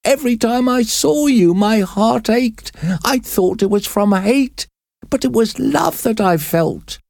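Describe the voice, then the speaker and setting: A man voicing an older grieving mother, crying out in a very high, tearful voice. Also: huge pitch swings, slow pace, gravelly, darkish tone, no echo, studio quality, heavily acted. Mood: joyful weeping.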